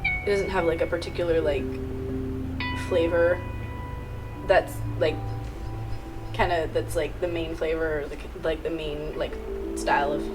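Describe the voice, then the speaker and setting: A woman's voice: low-pitched, level tone, a little slow, pauses often. A woman from Mexico whose first language is Spanish, rambling as she searches for words in a documentary interview. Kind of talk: documentary interview sound bites. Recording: distant mic under loud background music.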